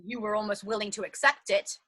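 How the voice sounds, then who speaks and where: A woman's voice: mid-range voice, steady tone, brisk, a bit bright, natural voice. An actress playing an anxious but determined woman on the run in a stage drama, speaking in a theatrical, insistent way. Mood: wary. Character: anxious but determined woman fleeing a flooded underground shelter. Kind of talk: dramatic stage-play dialogue.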